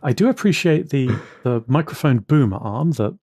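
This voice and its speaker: A man's voice: mid-range voice, animated, moderate pace, darkish tone, no echo. A man co-hosting a casual podcast, opinionated and wry, with dry sarcasm in how he talks. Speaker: podcast co-host, programmer.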